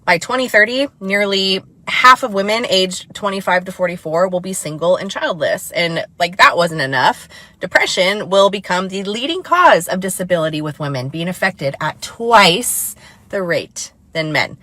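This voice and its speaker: Blunt scolding woman narrator, dry bright voice. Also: lowish voice, lively intonation, moderate pace, dry recording. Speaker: commentary channel narrator. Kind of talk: scripted voice-over commentary.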